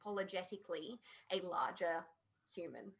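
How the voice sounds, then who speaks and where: A woman's voice: on the low side, a little fast, vocal fry, a bit bright. Woman giving emphatic, casual opinions. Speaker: podcast panelist. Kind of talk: casual opinionated review chat.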